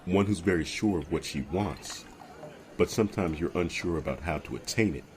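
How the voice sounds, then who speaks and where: A man playing a polished, perceptive romantic suitor in an audio drama, speaking in a measured, earnest, poetic way. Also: a bass voice.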